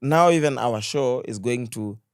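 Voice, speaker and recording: A man with a Kenyan accent talks casually as a podcast guest, slipping in slang and acting out quotes as he goes. His voice sits at an average male pitch, recorded dry with no room sound. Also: normal speed, smooth, dry recording. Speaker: podcast guest, content creator.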